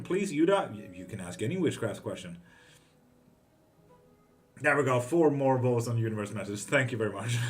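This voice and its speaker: A man from Greece, hosting a live tarot stream and reading out viewer comments in a loose, profane, chatty way. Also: mid-range voice. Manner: relaxed and profane, with quick laughs.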